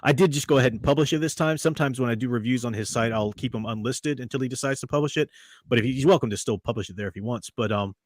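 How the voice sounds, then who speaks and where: A man's voice: mid-range voice, racing, no room sound. A man hosting a casual podcast chat, opinionated and chatty, laughing often. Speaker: podcast host.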